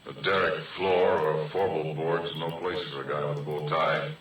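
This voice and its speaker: An actor playing a folksy, plain-spoken retired oil-field roughneck, telling a brooding tale in conversational 1940s radio style. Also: male voice, extremely low pitch, little pitch movement, highs cut off, over a noisy crowd. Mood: explaining, folksy. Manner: colloquial, conversational, deliberate. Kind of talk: 1940s radio acting, first-person horror narration. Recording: echoey distant 1940s radio recording, music behind.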